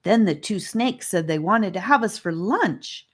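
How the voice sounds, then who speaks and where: A woman's voice: mid-range voice, expressive, unhurried, voice pushed up. A woman voicing a proud, impatient young girl who speaks melodramatically in an audiobook. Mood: melodramatic.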